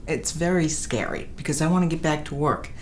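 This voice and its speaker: Deep-voiced anxious carpenter patient, played by a woman, terse worried replies. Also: brisk, darkish tone, slight background noise, close to an everyday voice. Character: woman carpenter patient, anxious about her injured hand. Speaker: standardized patient actor.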